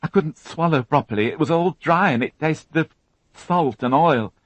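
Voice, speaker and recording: A man voicing a frightened young woman who witnessed a crime, tearful and halting, repeating her words as she talks. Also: a little high, dry recording, pitched above the performer's own voice, natural voice. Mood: shaken.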